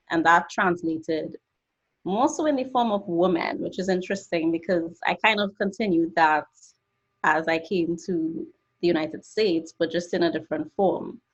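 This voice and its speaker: A woman's voice: lowish voice, normal speed, husky. A woman in her twenties from Trinidad and Tobago, giving an earnest, explanatory talk about her work over a video call from her home studio. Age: 20s. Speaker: guest artist. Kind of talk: presentation talk. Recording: dull lo-fi call audio.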